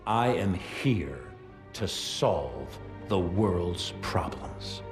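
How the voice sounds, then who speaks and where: A man playing an arrogant, menacing corporate military chief who gives a formal, defiant speech in a deliberate, commanding way. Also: deep voice, animated, heavily acted. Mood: cold, defiant. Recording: cinematic music behind.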